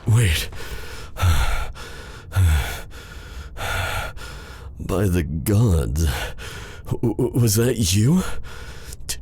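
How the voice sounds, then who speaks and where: A man playing a possessive, silky dark sorcerer in a theatrical fantasy ASMR roleplay, drawing out courtly endearments with quiet menace. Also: lowish voice, expressive, very slow, a little hiss or hum. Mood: startled, alarmed.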